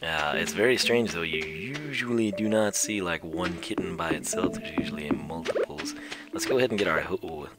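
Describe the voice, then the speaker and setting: A man hosting a casual let's play, his voice hoarse and scratchy as he loses it, chatty and joking with many fillers. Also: extremely low pitch. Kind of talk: casual gameplay commentary. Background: loud game music.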